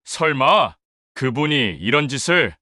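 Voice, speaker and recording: A man's voice: mid-range voice, animated, takes it slowly, sharp tone, no echo, clean studio sound. A shaken middle-aged father. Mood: troubled. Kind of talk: audio drama acting.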